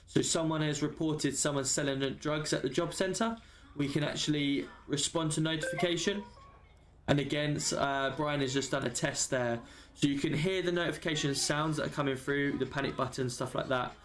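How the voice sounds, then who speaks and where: A man's voice: a little high, normal speed, a bit bright, a little hiss or hum. A man giving an informal, matter-of-fact walkthrough of a game system, explaining it step by step as a tutorial. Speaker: roleplay server member giving a tutorial.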